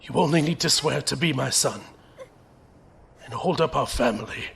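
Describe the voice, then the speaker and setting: A man playing a gentle, resolute young soldier captain who is dying, speaking weakly and haltingly in an audio drama. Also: mid-range voice, slight background noise. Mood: pleading, grieving. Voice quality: weak, creaky, breathy pauses. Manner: halting, laboured delivery.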